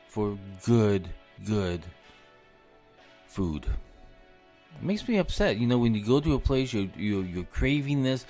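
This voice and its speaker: A man's voice: lowish voice, a little mellow. A man hosting a solo podcast, losing his voice, talking in an indignant tone and repeating words as he rants. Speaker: podcast host.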